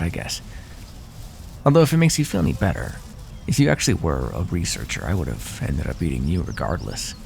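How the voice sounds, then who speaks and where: A man's voice: low voice, vocal fry, a little mellow, slight background noise, full character voice. A man voicing a smug, predatory naga who teases and threatens with mocking condescension in an ASMR fantasy roleplay. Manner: mocking, condescending, drawling pet names. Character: smug predatory male naga, teasing and menacing.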